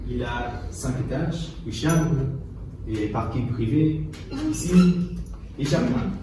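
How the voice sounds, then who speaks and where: Echoey, halting game show host, amateur acting man. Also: medium pitch, lightly acted. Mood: ceremonious. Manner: ceremonious, halting announcer delivery. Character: formal TV game show host in a class dramatisation. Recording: very echoey room, distant mic, noisy.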